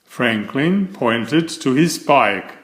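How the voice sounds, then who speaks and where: A man's voice: mid-range voice, lively intonation, drawn-out words. A man reading a children's bedtime story as a scripted narrator, recorded in an echoey room.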